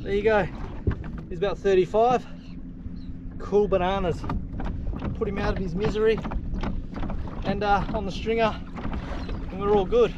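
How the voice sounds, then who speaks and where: Excited Australian man fishing from kayak. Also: high-pitched, measured pace, pauses often, highs cut off. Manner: enthusiastic, exclaims often. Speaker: fishing vlogger. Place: kayak on open water outdoors. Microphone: action camera on the boat. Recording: loud wind and water noise. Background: water and wind.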